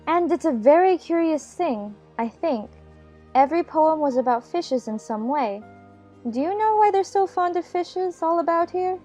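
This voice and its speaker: A woman's voice: extremely high pitch, lively intonation, normal speed, warm tone, faint music behind, pitched above the performer's own voice. A woman voicing a polite, curious young girl in a dramatized children's audiobook, earnest and wondering.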